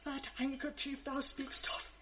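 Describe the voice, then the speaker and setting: A fierce, outraged lady's maid in a radio play. She speaks high and slow, flinging accusations and cries. The voice rises sharp against the men around her. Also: monotone. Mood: accusing. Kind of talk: 1980s radio drama acting.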